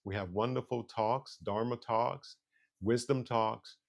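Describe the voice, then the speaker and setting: A man's voice: medium pitch, steady tone, takes it slowly, creaky. A man hosting a mindfulness talk show, upbeat and affirming as he greets listeners and introduces a guest. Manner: upbeat, affirming, frequent "mm-hmm". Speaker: host.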